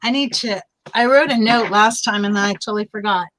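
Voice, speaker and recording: A woman's voice: mid-range voice, fairly quick, noisy background. A woman hosting a casual live chat over a video call, chatty and upbeat, laughing easily. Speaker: livestream host. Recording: choppy internet stream.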